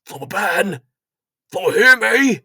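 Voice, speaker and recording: A man voicing Bill, a small, cheery, excitable childlike character who babbles in broken exclamations for a children's story. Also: high-pitched, slow pace, slightly rough, dry recording, studio quality, pitched above the performer's own voice, full character voice. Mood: urgent.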